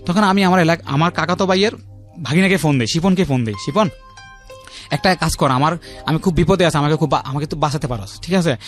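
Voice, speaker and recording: A Bangladeshi man in his thirties tells his own story as a guest on a radio interview. His voice sits a little high and he talks quickly, circling back and adding check-in tags, with faint music underneath. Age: 30s. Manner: repetitive, uses frequent check-in tags. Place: radio studio.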